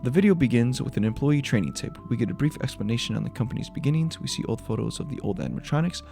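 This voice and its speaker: A man's voice: mid-range voice, expressive, racing, creaky, warm tone, soft background music. A man giving a scripted breakdown of a horror video as its creator, in voice-over narration.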